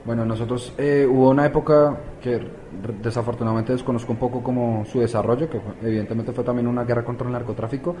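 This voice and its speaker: A man's voice: medium pitch, fairly even intonation, quick pace, mellow, a little hiss or hum. A man from Colombia, answering interview questions as a youth activist, hesitant with many fillers and restarts.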